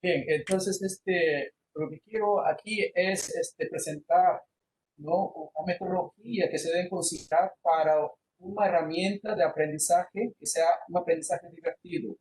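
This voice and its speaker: Brief: male lecturer, high voice, hesitant with many fillers, video-call mic, faint background hum. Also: fairly even intonation, a little slow, a little mellow, low-quality recording. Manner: mixes in Portuguese words. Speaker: university lecturer. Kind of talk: online lecture presentation. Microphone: computer microphone on a video call.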